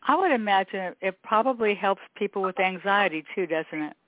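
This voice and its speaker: A woman hosting her own podcast, chatting warmly with a guest in an upbeat, talkative way. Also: mid-range voice, expressive, moderate pace, no echo. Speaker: podcast host. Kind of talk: interview with scripted intro. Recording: thin phone-like sound, dry.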